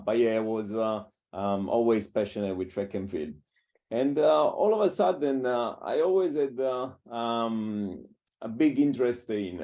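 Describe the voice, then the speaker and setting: An Italian man with an Italian accent and non-native English, speaking haltingly with many filler sounds as a coach in an interview. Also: on the low side, dark tone. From Italy. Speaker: strength and conditioning coach. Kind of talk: casual interview talk.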